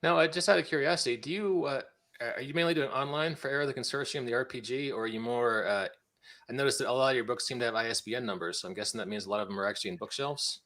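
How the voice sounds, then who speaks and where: A man's voice: medium pitch, racing, fairly bright tone. A man hosting a podcast in a casual, informal, slightly rambling way, with some self-deprecation. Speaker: podcast host. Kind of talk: casual interview chat.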